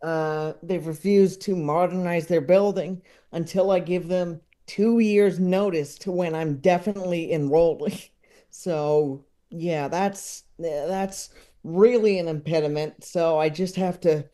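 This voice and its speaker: A man chatting casually as a podcast guest, talking in a halting, rambling way. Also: on the high side, fairly even intonation, warm tone. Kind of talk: casual interview chat.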